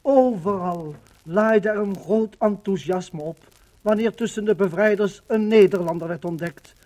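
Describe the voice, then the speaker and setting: An actor playing an impassioned Dutch witness to liberation, speaking theatrically in a 1950s radio docudrama. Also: male voice, high-pitched, unhurried, mellow. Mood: fervent, solemn. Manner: theatrical, rhetorical. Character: exultant, indignant male witness of liberation. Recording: noisy vintage 1950 radio recording.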